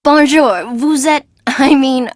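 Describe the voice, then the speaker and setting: An actress playing a welcoming but harried young fashion assistant in a video game. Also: female voice, high voice, slow pace, no room sound, clean studio sound. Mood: reassuring.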